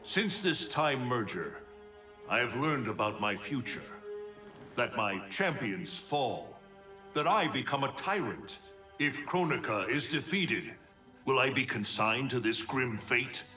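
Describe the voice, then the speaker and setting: An actor playing a grave thunder god and protector of the realms in a video game, speaking solemnly and formally. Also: male voice, medium pitch, expressive, slow pace. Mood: solemn, troubled. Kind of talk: video game cutscene acting. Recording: echoey, distant, under music.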